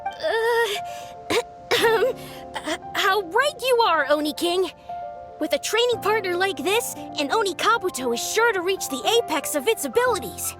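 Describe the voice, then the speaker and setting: A woman's voice: very high-pitched, expressive, a little slow, music in the background. A woman playing an earnest young beetle-battle devotee in an anime game, speaking in a stiffly formal, grandiose way. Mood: nervous deference.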